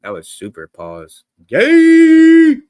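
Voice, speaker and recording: A man's voice: extremely high pitch, wildly swooping pitch, very slow, a little mellow, no echo. A man hosting a casual reaction video. He talks to the viewers in slangy, rambling speech with many restarts and fillers, into a desk microphone that sometimes peaks. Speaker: reaction channel host. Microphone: desk microphone, sometimes peaking.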